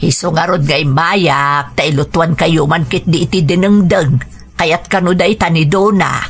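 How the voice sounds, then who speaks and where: An actress with a northern Philippine accent playing a friendly, generous middle-aged village aunt in a radio drama. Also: female voice, sits low, a little slow, breathy edge, exaggerated voice. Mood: chatty. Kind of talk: Ilocano radio drama acting.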